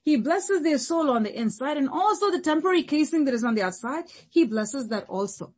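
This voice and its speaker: A woman's voice: high-pitched, animated, brisk, darkish tone. A woman pastor preaching a sermon, repeating phrases and building long emphatic lists.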